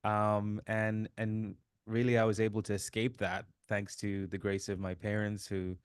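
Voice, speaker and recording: A man in his fifties, originally from Sri Lanka, telling his life story as an interview guest, with relaxed fillers. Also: on the low side, unhurried, smooth, no echo, clean studio sound. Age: 50s. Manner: warm storytelling, reflective, many fillers. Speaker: guest, author. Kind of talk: casual interview chat.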